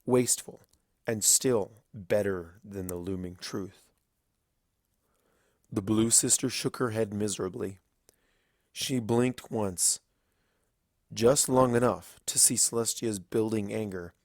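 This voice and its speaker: A male narrator with a fairly low voice reads a dramatic story. He goes slowly and gravely, pausing for weight, in a dry recording with little room sound. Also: a little mellow, no echo. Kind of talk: dramatic scripted fiction narration.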